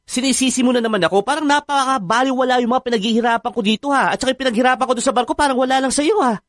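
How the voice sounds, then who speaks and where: An actor with a Filipino accent playing a defensive, easygoing husband in a radio drama. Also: male voice, extremely high pitch, very fast, a bit bright, no echo. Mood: defensive, annoyed. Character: defensive, easygoing husband, former ship worker. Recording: dry studio with music underneath.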